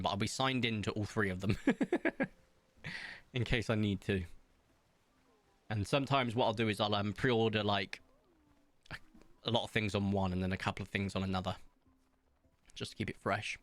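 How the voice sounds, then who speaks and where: A man streaming from home, chatting casually in a rambling, self-mocking way and pausing often. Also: on the low side, fairly bright tone, dry recording. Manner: rambling, self-mocking, hesitant with many ums. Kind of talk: casual chat reading viewer comments while playing.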